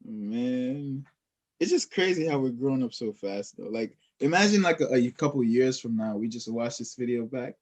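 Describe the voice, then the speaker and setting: A young man with a mid-pitched voice and very lively, swinging intonation. He chats casually in slang, often putting questions to the person he is talking with. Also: moderate pace. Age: young adult. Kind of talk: casual chat.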